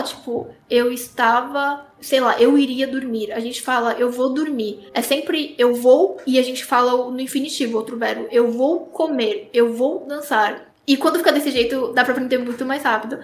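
A young woman from Korea, explaining how she teaches Portuguese to players in a relaxed interview, often laughing. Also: sits high, normal speed, few pauses. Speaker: language teacher for esports players. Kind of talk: casual interview answers. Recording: roomy echo.

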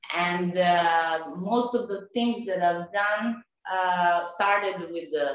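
A woman from Serbia with a Serbian accent answers as an interview guest. She talks slowly and haltingly in a slightly low voice, searching for her English words, and the room around her is heavily echoing. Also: fairly bright tone. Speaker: interview guest, tennis coach and painter.

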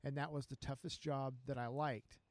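A man speaks as an interview guest. He tells his story in an earnest, unhurried way, with a mid-range voice. The recording is dry and close. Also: medium pitch, fairly even intonation, a little mellow, no room sound. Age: 50s. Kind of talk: casual interview chat.

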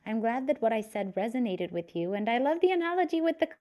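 Woman, 30s, from Romania, American-sounding English accent, fairly high, effusive guest. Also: sing-song, fast talker, darkish tone. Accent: American-sounding English, learned from cartoons. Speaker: guest speaker. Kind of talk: casual chat.

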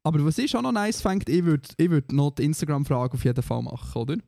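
A man's voice: a little high, big pitch swings, quick pace, vocal fry, warm tone, dry recording, studio quality. A man with a Swiss German accent co-hosting a podcast, chatting in a playful, teasing way. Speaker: podcast co-host. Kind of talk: casual podcast chat.

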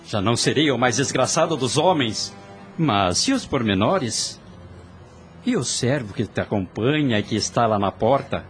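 A man's voice: mid-range voice, animated, moderate pace, lightly acted. An actor playing an eager, lovesick young nobleman in a radio soap, theatrical, formal and exclamatory. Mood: elated. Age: young adult. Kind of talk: radio soap opera acting. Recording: music under the voice.

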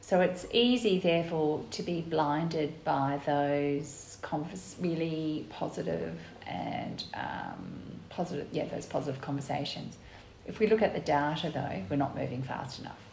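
An Australian woman whose first language was Italian talks in a sure, emphatic way, often agreeing with "absolutely." Her voice sits low for a woman. The room is echoey and there is a faint hiss behind her. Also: unhurried, gravelly, few pauses, a little mellow. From Western Australia. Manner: confident, emphatic, frequent "yeah, absolutely". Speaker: guest, executive officer of a gender equity group. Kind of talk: conversational podcast interview.

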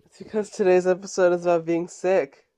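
A young woman co-hosts a laid-back podcast, talking in a playful, teasing way. She giggles often and trades quick banter with her co-host. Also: lowish voice, little pitch movement, mellow. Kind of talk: casual chat.

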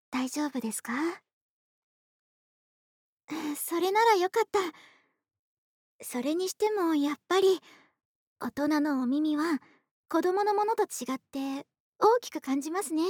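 A voice actress playing a polite, doting young woman next door in an intimate, soft-spoken ASMR roleplay. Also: sits high, dry recording, studio quality. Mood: soothing, doting. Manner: soft-spoken, courteous, polite speech, affectionate. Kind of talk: Japanese ASMR situation voice drama, intimate roleplay. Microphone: close studio microphone, dry.

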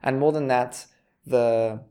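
A man's voice: mid-range voice, fairly even intonation, takes it slowly, dark tone. A man hosting a podcast in a casual, conversational interview style. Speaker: podcast host.

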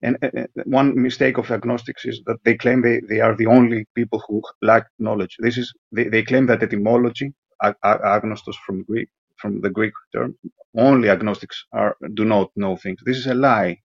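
Gravelly, husky man lecturing haltingly on muffled voicemail. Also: fairly low pitch, fairly even intonation, no room sound. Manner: halting, repetitive, pedantic. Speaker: caller leaving a voicemail. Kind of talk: philosophical lecture-like monologue.

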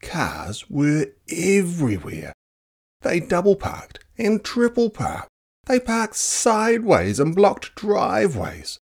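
A narrator reading a children's book aloud in a dramatic, playful, expressive style. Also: medium pitch, takes it slowly, vocal fry, husky. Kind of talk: expressive storybook read-aloud.

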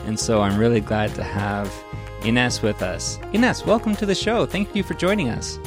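A man's voice: medium pitch, lively intonation, normal speed, over music. A man hosting a podcast interview online, chatty and playful. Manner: chatty and playful, with self-deprecating asides. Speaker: podcast host. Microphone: remote online recording.

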